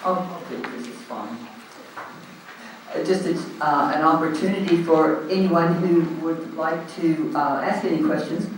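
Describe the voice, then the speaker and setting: A man's voice: fairly high pitch, measured pace, a little hiss or hum. Australian man chairing in an echoey hall. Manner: loud, informal, hesitant with frequent ums. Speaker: meeting chair standing in for the president. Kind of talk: chairing a community meeting. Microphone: handheld microphone. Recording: echoey hall, recorded from a distance.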